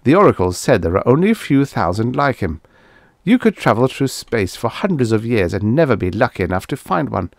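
A man's voice: medium pitch, sing-song, brisk, creaky, dry recording, clean studio sound. A man voicing a greedy, stubborn and impatient space explorer in a science fiction audiobook, brash and dismissive.

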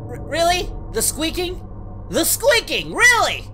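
A boastful cartoon anime hero with a very animated, high voice. He is whiny and frantic, stammering and tipping into shrieks as he hosts a comedic reaction video. Music plays behind him. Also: a little slow, heavily acted. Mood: panicked defiance. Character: cartoon anime hero, jumpy scaredy-cat, boastful yet panicky.

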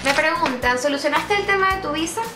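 Brief: twenties Venezuelan woman vlogger, high voice, playful and self-mocking, echoey bedroom with music behind. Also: brisk. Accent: Venezuelan, putting on Chilean slang. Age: 20s. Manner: playful, self-mocking, laughing often, animated hand gestures. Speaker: YouTuber. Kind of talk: casual vlog answering questions.

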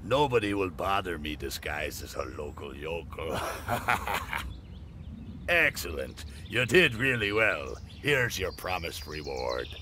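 Low, sly old seaman. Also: sing-song, slow, noisy background, exaggerated voice. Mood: smug. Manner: sly, ingratiating. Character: shady, flattering old seaman, male, scheming stranger. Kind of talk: video game dialogue acting.

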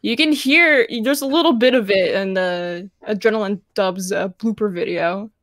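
A woman's voice: medium pitch, normal speed, a bit bright, no echo. Dry, close-sounding woman chatting casually. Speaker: panelist. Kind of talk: casual chat.

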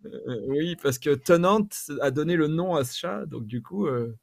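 A man's voice: fairly high pitch, lively intonation, a little slow, gravelly, no echo. A middle-aged man chatting casually on a video call. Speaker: show guest. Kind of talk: casual chat. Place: dim indoor room, video call.

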